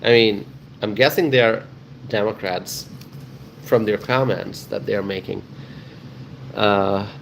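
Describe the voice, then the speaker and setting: A man's voice: on the low side, takes it slowly, gravelly, long pauses, slight background noise, low-quality recording. A man from Bangladesh hosting a casual livestream, reading out viewer comments and answering them, often repeating phrases for emphasis. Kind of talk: casual live chat with viewers.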